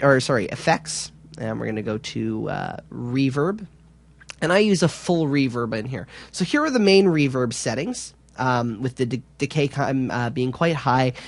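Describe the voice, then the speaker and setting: Guy doing a laid-out software tutorial, kinda halting, normal pitch, really dry sound. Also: dry recording. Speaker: tutorial instructor. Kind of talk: informal software tutorial narration.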